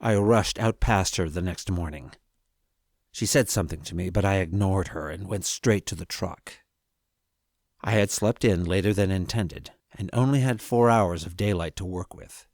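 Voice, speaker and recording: A man narrating a scripted horror story in the first person as a haunted grandson, with measured, dramatic delivery and deliberate pauses. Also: low voice, a little mellow, dry recording, studio quality. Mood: tense, fearful. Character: haunted grown grandson recounting a frightening visit. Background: music.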